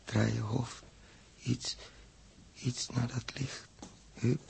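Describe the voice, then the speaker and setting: An actor playing a gravely injured young spaceman in a 1960s radio drama, weak and halting, barely conscious and resigned. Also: medium pitch, little pitch movement, a little mellow, heavily acted. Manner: halting, slow, broken sentences. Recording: noisy old 1960s radio recording.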